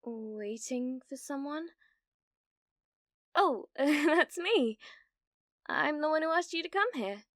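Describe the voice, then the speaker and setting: A British woman playing a needy, awkward young gamer in an ASMR roleplay, with a halting, nervous manner. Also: sits high, expressive, a bit bright, dry recording, clean studio sound, heavily acted. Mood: flustered, eager. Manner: halting, flustered, breathy laughs and hesitations.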